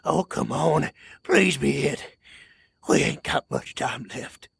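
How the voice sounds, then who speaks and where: A man playing a frantic old prospector with a rural Southern American accent and a gravelly, cracking voice in an audio drama. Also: on the high side, slow pace, no echo, studio quality, heavily acted. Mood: anxious pleading. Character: frantic old male prospector guarding a secret. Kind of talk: scripted audio drama acting.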